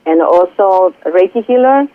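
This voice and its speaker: A woman from Greece with a Greek accent, a spiritual coach speaking earnestly and haltingly as a guest on a radio interview. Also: fairly low pitch, expressive, dry recording. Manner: earnest, halting, many pauses and fillers. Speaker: spiritual coach and Reiki healer. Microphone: telephone. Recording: tinny phone line, dry.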